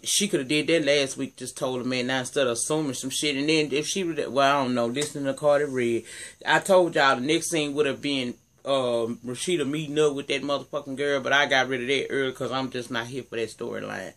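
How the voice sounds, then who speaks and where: A man with a deep voice giving a casual, sassy, profane recap of a TV episode, talking straight to his viewers. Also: fairly even intonation, a little fast, fairly bright tone. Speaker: TV recap vlogger.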